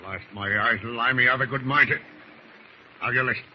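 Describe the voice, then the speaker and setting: A dull old radio recording with faint noise. An actor plays a hard-bitten villainous sailor of medium pitch, issuing threats and orders in salty seafaring talk. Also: male voice, moderate pace, lightly acted. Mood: menacing. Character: menacing, domineering villainous seaman, salty and hard. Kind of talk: 1940s radio drama acting.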